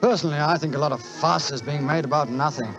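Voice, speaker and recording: A man's voice: fairly high pitch, quick pace, natural voice. A man acting a breezy, entitled British prince in a 1940s radio drama, speaking in a casual, well-bred manner. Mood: breezy, dismissive. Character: breezy, entitled English prince travelling incognito. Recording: muffled 1940s radio recording with music.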